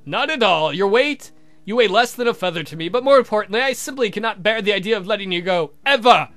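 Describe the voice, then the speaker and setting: Man playing a courtly, animated vampire count. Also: sits high, fast talker, faint music behind. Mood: doting. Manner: formal, gallant, solicitous. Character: courtly adult male vampire count, earnest, doting suitor. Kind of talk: voiced visual novel dialogue.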